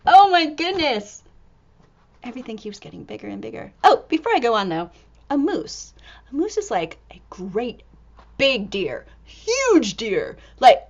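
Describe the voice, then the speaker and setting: A woman storyteller reading a picture book to young children in an animated, exclamatory way, full of expressive wonder. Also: a little high, highs cut off. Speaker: storytime reader and teacher.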